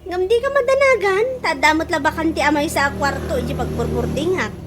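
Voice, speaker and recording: High-pitched, breezy Ilocano city friend, played by a woman. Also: lively intonation, fairly quick. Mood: frank, teasing. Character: chatty city-working female friend, breezy and brash. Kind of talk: Ilocano radio comedy drama acting. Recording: clearly noisy radio drama recording.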